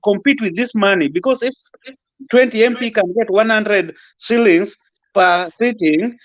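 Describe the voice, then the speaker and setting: A man with a Kenyan accent making his points haltingly, deliberately and politely in an impromptu political discussion. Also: sits high, muffled recording.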